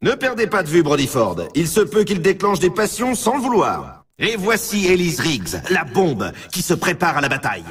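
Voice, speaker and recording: A man playing an excited, upbeat snowboard race announcer in a video game, with showman commentary and a husky voice. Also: high-pitched, normal speed, hardly any pauses, far from the mic, a little hiss or hum. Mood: hyped.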